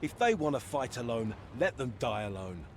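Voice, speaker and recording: Stern, loyal war veteran, noisy backdrop. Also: male voice, mid-range voice, big pitch swings, moderate pace, vocal fry. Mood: grim, firm. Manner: solemn, blunt, formal old-fashioned phrasing. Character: battle-hardened soldier, stern and loyal sworn brother. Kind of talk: dramatic fantasy game acting.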